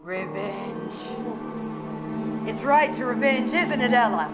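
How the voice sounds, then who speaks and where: A woman's voice: on the high side, lively intonation, slow pace. A woman playing a crazed, vengeful young woman in a melodramatic 1930s horror radio play. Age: young adult. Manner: melodramatic, chanting, unhinged. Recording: live radio play under very loud music.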